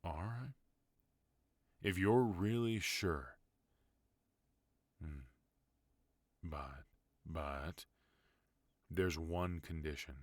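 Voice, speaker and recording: A voice actor playing a protective, tender yet dangerous mafia boss husband in an intimate ASMR roleplay, speaking softly and unhurriedly into a close microphone. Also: male voice, a bass voice, no room sound. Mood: firm, protective. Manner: soft, intimate, unhurried delivery.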